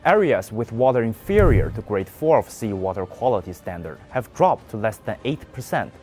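Young man, news commentary, animated intonation, pointed, faint music behind. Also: lowish voice, normal speed. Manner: emphatic, pointed delivery. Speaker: news presenter.

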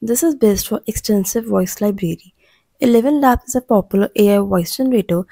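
A woman narrates a scripted explainer in a soft, dark-toned voice with some vocal fry. Her delivery is measured, and the recording is dry and close, with no room sound. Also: medium pitch, no echo. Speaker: channel narrator.

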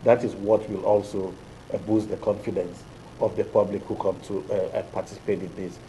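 A middle-aged Nigerian man, an electoral official, answering interview questions in a TV studio in a deliberate, hesitant way with many fillers. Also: lowish voice, level tone, dark tone, a little hiss or hum, poor audio. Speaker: electoral commission official, guest.

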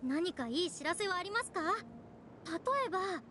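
Very high, animated young lady. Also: normal speed, heavily acted. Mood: hopeful. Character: young woman, hopeful and polite, welcoming. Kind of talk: Japanese anime game voice acting. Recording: noisy ambience behind the voice.

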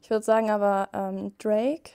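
A woman's voice: medium pitch, little pitch movement, moderate pace, dark tone, clear, resonant tone. An eighteen-year-old woman chatting hesitantly about herself. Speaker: contestant. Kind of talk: casual chat.

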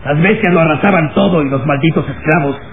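A man's voice: a little high, fast, gravelly, a loud crowd. An actor playing a frightened, agitated male overseer in a theatrical old radio drama. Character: frightened, cowardly slave overseer, male henchman. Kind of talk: vintage radio drama acting. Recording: tinny, noisy old radio recording.

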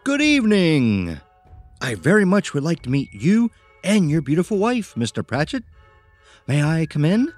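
An actor playing a small, eccentric, socially awkward man who speaks in a formal, halting, ingratiating way in a horror audio drama. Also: fairly high pitch, wildly swooping pitch, darkish tone, soft background music. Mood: eerily courteous.

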